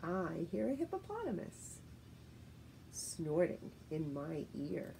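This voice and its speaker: A woman reads a children's picture book in a lowish voice with very lively, sing-song pitch. She enunciates like a teacher addressing little ones. There is some light background noise in the home recording. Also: poor audio. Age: middle-aged. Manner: deliberate, sing-song, expressive reading with clear enunciation. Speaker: teacher reading aloud to young children. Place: living room at home, indoors. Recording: faint steady noise, home room recording.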